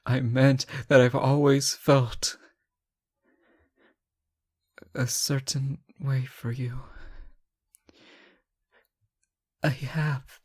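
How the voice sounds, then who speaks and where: A man playing a lovesick, anguished captive ruler in a fantasy romance audio drama, halting and often dropping toward a whisper. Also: medium pitch, little pitch movement, darkish tone, husky. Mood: confessing, resigned. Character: captive reckless but benevolent male ruler, lovesick and anguished. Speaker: audio roleplay performer.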